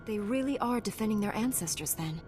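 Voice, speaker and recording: Actress as a low, composed Jedi woman. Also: animated, a little mellow, faint music behind, close to an everyday voice. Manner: composed, measured. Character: calm, noble, self-assured female Jedi warrior. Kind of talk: video game voice acting.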